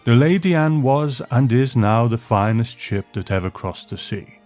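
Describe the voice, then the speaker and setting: A man's voice: medium pitch, lively intonation, normal speed, a little mellow, faint music behind, natural voice. A man voicing a hearty, opinionated old man who rambles fondly with old-fashioned exclamations, in a storybook reading. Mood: proud, wistful. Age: older. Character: hearty opinionated old man reminiscing about his beloved ship.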